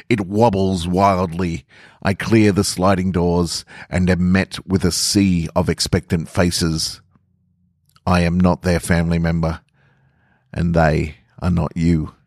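A man's voice: deep voice, dry recording, studio quality. A man hosting a podcast and reading short fiction in an informal, playful manner. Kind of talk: casual intro and narrated short stories.

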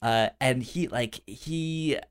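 A man of ordinary pitch talks through films as a casual co-host. He stutters often, repeating first sounds and whole syllables before the word comes out. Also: slow, clear, resonant tone. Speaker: podcast co-host. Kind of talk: casual film discussion.

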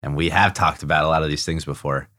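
A young adult man hosting a podcast, reading a scripted introduction and then interviewing a guest in a measured, friendly way. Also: very deep voice, steady tone, sharp tone, no echo, clean studio sound. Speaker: podcast host.

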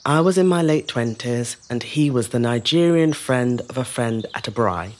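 A woman playing a resilient middle-aged woman telling her life story in a measured, reflective dramatic monologue. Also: rumbling low, expressive, darkish tone. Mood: fond, nostalgic. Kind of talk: scripted dramatic monologue, first-person storytelling.

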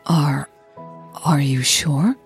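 A woman voicing a shy, happy woman in love in an audio drama, softly and a little hesitantly. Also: deep voice, drawn-out words, faint music behind, exaggerated voice.

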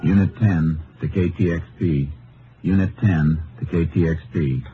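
A man's voice: deep voice, a little slow. An actor playing a laconic, stern lawman in an old-time radio western, speaking in clipped, matter-of-fact phrases. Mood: businesslike. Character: laconic Texas lawman investigator on horseback, stern and steady. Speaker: lead actor and narrator. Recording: muffled, noisy vintage radio recording.

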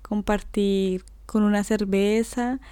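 Young Colombian woman, co-host, unhurried casual chat, laughs easily, dry close sound. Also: mid-range voice, smooth, no echo. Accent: Colombian Spanish. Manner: relaxed, laughs often, many fillers. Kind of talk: casual conversation.

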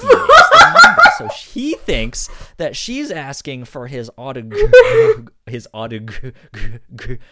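A man co-hosting a podcast in casual chat, stuttering on syllables and words in an animated, halting way. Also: mid-range voice. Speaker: podcast co-host.

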